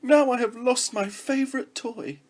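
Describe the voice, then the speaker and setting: A man voicing a lonely young princess in a storybook reading, in a husky, high, lively voice. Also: normal speed, full character voice. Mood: wailing.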